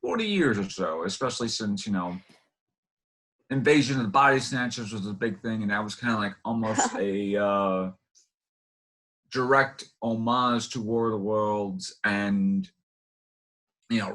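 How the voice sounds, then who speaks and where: A man with a slightly low voice hosts a casual interview. His questions wander and come out haltingly, in broken-up phrases that double back on themselves. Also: a bit bright, poor audio. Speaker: interview host.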